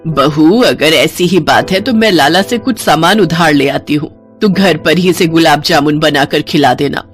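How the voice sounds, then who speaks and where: A woman's voice: deep voice, normal speed, faint music behind, natural voice. A woman playing a caring elderly village mother-in-law in a storybook tale, speaking earnestly.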